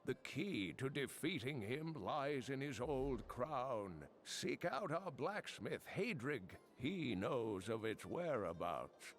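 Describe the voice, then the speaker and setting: An actor playing an elderly, kindly and learned uncle in a fantasy video game, speaking expressively with grave foreboding. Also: male voice, mid-range voice, faint music behind. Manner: slow, expressive, foreboding storytelling.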